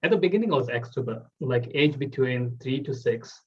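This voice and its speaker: A man's voice: mid-range voice, expressive, a little fast, husky, highs cut off. A man, hosting a casual interview and talking loosely with many "like" fillers. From Bangladesh. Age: 20s. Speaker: host.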